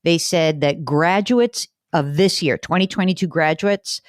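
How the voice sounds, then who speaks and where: A woman's voice: low voice, measured pace, dry recording, studio quality. A woman hosting a personal finance show, talking in a chatty, emphatic way. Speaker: money show host. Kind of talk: conversational radio podcast talk.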